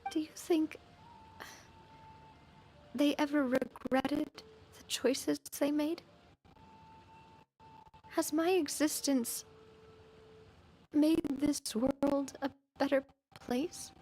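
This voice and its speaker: A woman voicing a gentle, weary young leader in a video game, hesitant and sincere. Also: high-pitched, fairly even intonation, extremely slow, long pauses, poor audio. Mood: sad, doubting. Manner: hesitant, sincere, soft-spoken.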